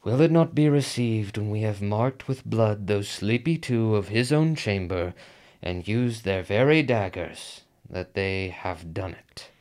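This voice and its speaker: An actor playing a brooding, conflicted nobleman with deliberate, theatrical verse delivery in a Shakespearean radio drama. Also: on the low side, lively intonation, a little mellow. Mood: resolved.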